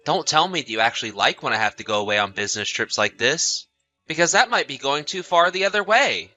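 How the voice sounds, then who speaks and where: A man's voice: on the high side, expressive, a little fast, bright, forceful tone, soft background music. A man playing an anxious, trusting husband in a scripted audio story, apologetic and full of questions. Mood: probing. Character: anxious, trusting husband often away on business.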